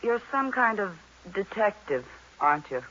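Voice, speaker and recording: An actress playing a cool, tough, sardonic femme fatale in an old-time radio noir drama. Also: female voice, mid-range voice, sing-song, moderate pace, a bit bright. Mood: cool, wary. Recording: hissy, dull vintage radio recording.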